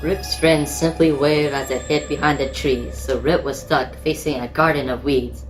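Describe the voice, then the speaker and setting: A woman's voice: low voice, fairly even intonation, moderate pace, music in the background, rough recording. A woman narrator reading rhyming, scripted storybook narration in a theatrical storytelling manner.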